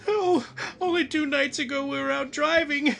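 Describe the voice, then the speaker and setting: A man acts an anxious young fiancé in a vintage radio horror play. His voice has a gravelly creak, and his delivery is halting, lovesick and melodramatic. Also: very high voice, steady tone, a bit bright, heavily acted. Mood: grief-stricken. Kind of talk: 1930s radio horror drama acting.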